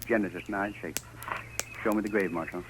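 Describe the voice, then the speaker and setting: A man acting a solemn preacher of the Old West declaims Bible verses with grave weight. His voice sits fairly low, on a clearly noisy old radio drama recording. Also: steady tone, normal speed, close to an everyday voice. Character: solemn scripture-quoting frontier preacher, man.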